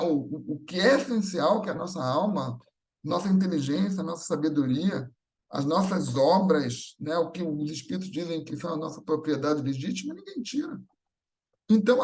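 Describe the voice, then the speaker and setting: A man's voice: a little high, darkish tone. A man with a Brazilian accent giving a conversational spiritual talk, teaching with repeated phrases, rhetorical questions and check-in tags. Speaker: speaker at a Spiritist gathering.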